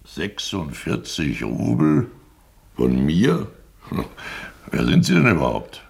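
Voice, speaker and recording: A boozy, eccentric disbarred lawyer in a radio drama. His voice is extremely low and full of gravelly creak. He rambles theatrically, mocking himself with dry wit. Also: wildly swooping pitch, takes it slowly, heavily acted. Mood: dismissive amusement. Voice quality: very deep, gravelly. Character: Lebedew, tipsy disbarred lawyer, eccentric, wryly self-mocking. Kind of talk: German radio play acting.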